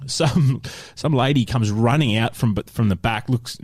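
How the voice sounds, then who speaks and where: A man's voice: medium pitch, unhurried, darkish tone, dry recording, clean studio sound. A man with an Australian accent telling stories in casual podcast banter, laid-back and prone to chuckling as he goes. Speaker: podcast co-host.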